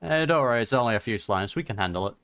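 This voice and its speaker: A woman's voice: extremely low pitch, lively intonation, rapid-fire, a bit bright. A woman giving casual, slangy reaction commentary on a video game as it plays. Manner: informal slangy asides.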